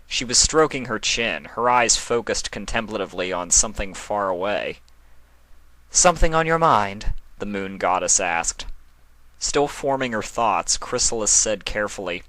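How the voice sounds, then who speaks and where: A man's voice: on the low side, moderate pace, sharp tone, no room sound, poor audio. A man reading scripted story narration as the narrator of a tale.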